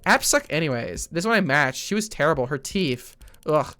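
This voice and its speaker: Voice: medium pitch, animated, moderate pace, a bit bright, faint music behind, natural voice. An actor playing a jaded, sardonic adult in a gritty detective story, speaking in a blunt, sarcastic, conversational way. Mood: sardonic, irritated.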